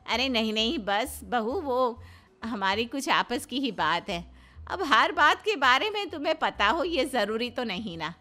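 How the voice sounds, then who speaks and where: A woman playing an evasive, dismissive older neighbourhood gossip in an animated family comedy. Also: a little high, measured pace, faint music behind, exaggerated voice. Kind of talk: animated Hindi family comedy story acting.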